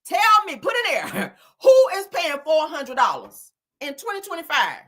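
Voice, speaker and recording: A woman giving animated online reaction commentary in a blunt, scolding, emphatic manner, swearing freely and repeating phrases for effect. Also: high voice, measured pace. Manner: blunt, scolding, heavy profanity. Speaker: YouTuber commentating on celebrity and viral topics.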